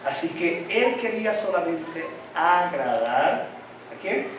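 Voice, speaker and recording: A man's voice: a little high, expressive, takes it slowly, background noise. Man preaching in an echoey church. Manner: call-and-response questions to the congregation; says he has a tongue-tie that tangles his speech. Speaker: pastor. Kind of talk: sermon. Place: church hall. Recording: very echoey hall, mic at a distance.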